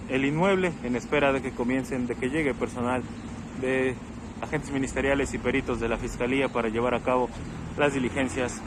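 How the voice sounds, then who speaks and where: Man reporting live from the street. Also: mid-range voice. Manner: steady factual reporting, some fillers. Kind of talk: live on-scene news report. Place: outdoors on a city street. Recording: noisy outdoor street recording. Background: street traffic noise.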